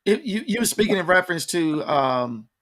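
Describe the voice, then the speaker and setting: A man's voice: sits high, sing-song, moderate pace, a bit bright. A man working as an interviewer, asking casual questions with hesitant, repeated phrasing.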